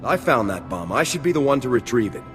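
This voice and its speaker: An actor playing a cocky, defiant trooper sergeant with a blunt, dry manner in a game cutscene. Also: male voice, medium pitch, very animated, quick pace, faint music behind, natural voice. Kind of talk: video game cutscene acting.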